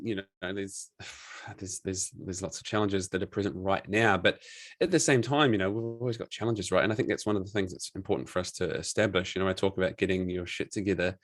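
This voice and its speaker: A man's voice: deep voice, brisk, no echo, clean studio sound. A man in his thirties with a New Zealand accent, a coach talking frankly and casually as a podcast guest, swearing now and then. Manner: relaxed, frank, swears casually, many restarts. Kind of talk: casual interview chat.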